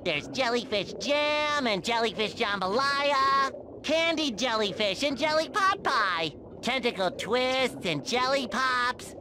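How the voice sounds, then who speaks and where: A man voicing a naive, eager, childlike sea sponge in a cartoon video game, squeaky, nasal, earnest and chirpy. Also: animated, takes it slowly, full character voice. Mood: dreamy, cheerful. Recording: clearly noisy game audio.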